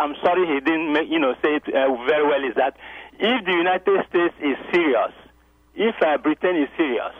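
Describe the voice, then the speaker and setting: A man calling into a radio show, talking haltingly with many fillers and growing insistent. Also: fairly high pitch, dry recording. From Nigeria. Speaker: caller. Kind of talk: radio call-in. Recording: tinny phone line.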